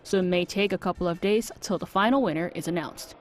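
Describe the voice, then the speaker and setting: A woman's voice: fairly low pitch, fast, faint music behind. A woman news reporter narrating a scripted report.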